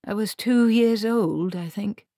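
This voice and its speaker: A woman's voice: mid-range voice, measured pace, warm tone, no room sound, pitched above the performer's own voice, close to an everyday voice. A grumbling male wizard, played by a woman reading aloud in a storybook. He is reluctant and miserable, protesting with fussy, formal politeness. The recording is dry and close.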